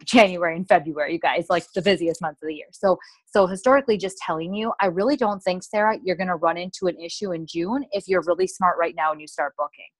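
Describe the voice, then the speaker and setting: An American woman with a slightly low voice coaches her team on a casual call. She is enthusiastic and chatty, often wandering into asides. The sound is dry, with little room in it. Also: no room sound. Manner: enthusiastic, peppy, rambling with asides. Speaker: sales team leader hosting a coaching call.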